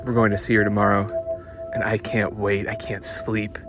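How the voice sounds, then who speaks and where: A man, a hot rod hobbyist, musing to himself in a personal audio diary with halting, wistful, repetitive phrasing. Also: lowish voice, level tone, loud music behind the voice.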